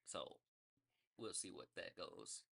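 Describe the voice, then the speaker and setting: Casual man with a bright voice. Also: deep voice, sing-song, slow, gravelly, husky. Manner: loose, rambling, corrects himself mid-thought. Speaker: sports podcast host. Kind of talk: casual sports news rundown.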